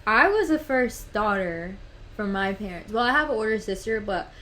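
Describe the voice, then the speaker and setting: A woman's voice: mid-range voice, expressive, moderate pace, fairly bright tone, slight background noise. Chatty young woman, full of "like"s. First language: Spanish. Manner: rambling, fillers of "like" and "literally". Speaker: podcast host. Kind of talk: casual podcast chat.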